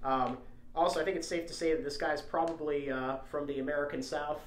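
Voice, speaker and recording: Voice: medium pitch, fairly even intonation, brisk, a little hiss or hum. A podcast co-host who moves between dramatic story narration and casual chat. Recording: echoey, roomy recording.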